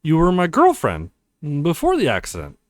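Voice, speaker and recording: A man's voice: fairly high pitch, huge pitch swings, moderate pace, dry recording. A man voicing an earnest, worried young male college student in a visual novel. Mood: earnest, sorry.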